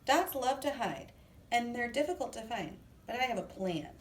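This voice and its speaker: A confident, helpful male alphabet letter from a storybook, put on by a woman. The delivery is emphatic and high, with lively pitch. It is recorded a little away from the mic. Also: moderate pace, reverberant, distant mic, natural voice. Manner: emphatic, declaring.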